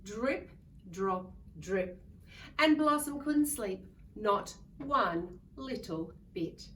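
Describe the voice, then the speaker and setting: A woman's voice: medium pitch, animated, drawn-out words, fairly bright tone. A woman reading a picture book aloud to young children in a sing-song way, coaxing them to join in. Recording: distant mic with faint background noise.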